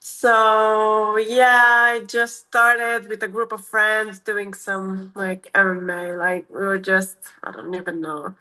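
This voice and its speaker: A woman's voice: medium pitch, level tone, takes it slowly, hardly any pauses, sharp tone, poor audio. A woman in her thirties from Romania with a Romanian accent, answering interview questions in a casual, hesitant way with many fillers and restarts. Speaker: MMA fighter, guest.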